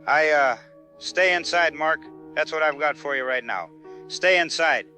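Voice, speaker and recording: A man playing an evasive small-town sheriff in an audio drama, halting and repeating himself officiously. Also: fairly high pitch, pushed and bright, faint music behind. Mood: flustered, insistent. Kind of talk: phone interview in audio drama newscast.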